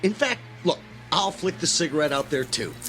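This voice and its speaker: A man's voice: fairly high pitch, big pitch swings, moderate pace, a bit bright, soft background music. A man acting in an audio drama as an earnest, idealistic young graduate student with an intense, preachy manner. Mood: indignant, worked up.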